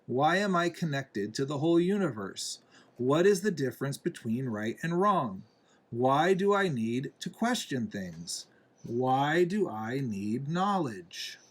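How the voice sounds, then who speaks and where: A man reading a children's picture book aloud in a deliberate way, pausing between phrases. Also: medium pitch, big pitch swings. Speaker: reader, parent reading to a young child.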